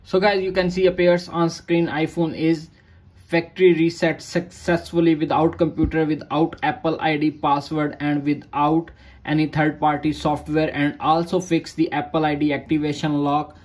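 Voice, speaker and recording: A man's voice: on the high side, level tone, normal speed, darkish tone. A man narrating a phone tutorial in short, repeated step-by-step instructions in non-native English. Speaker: tech tutorial host.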